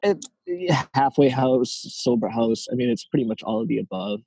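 A man in his late twenties, a guest talking casually and haltingly, with lots of fillers and restarts. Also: medium pitch, animated, vocal fry, warm tone, poor audio. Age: 20s. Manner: hesitant, many fillers and restarts. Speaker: interview guest. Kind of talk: casual conversation.

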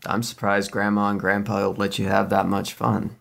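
A woman playing a bored, sarcastic sixteen-year-old sister with a teasing, deadpan manner in a comedy script read. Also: rumbling low, normal speed, gravelly, full character voice.

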